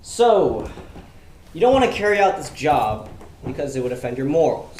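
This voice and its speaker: A man's voice: fairly high pitch, big pitch swings, moderate pace, darkish tone, echoey room. Young man acting coaxing criminal schemer, swooping delivery. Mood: pressing, coaxing. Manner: coaxing, pointed, commanding. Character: smooth, persuasive male criminal schemer recruiting a reluctant worker. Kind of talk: stage play acting. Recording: noisy live stage recording.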